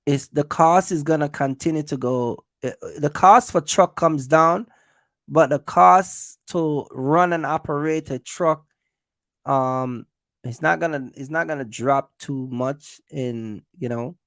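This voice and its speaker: A man with a slightly high, gravelly voice talks casually as a livestream host. He addresses his viewers directly, repeating his points for emphasis. The sound is close and dry. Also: slow pace, a bit bright, no room sound. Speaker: host of a trucking business livestream.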